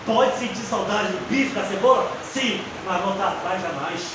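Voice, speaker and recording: Fervent high-voiced preacher man, echoey. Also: normal speed. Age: 50s. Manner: fervent, rhetorical questions, personal testimony. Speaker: seminary teacher. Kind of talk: preaching lecture on the Psalms. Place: classroom. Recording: echoey distant room mic with background noise.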